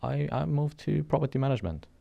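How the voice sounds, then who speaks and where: A man's voice: fairly high pitch, expressive, fast, gravelly, warm tone. A man from Romania with a Romanian accent, chatting as a podcast guest and telling stories about his career, often restarting and adding "right?". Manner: chatty, storytelling, frequent restarts and "right?" tags. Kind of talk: casual interview chat.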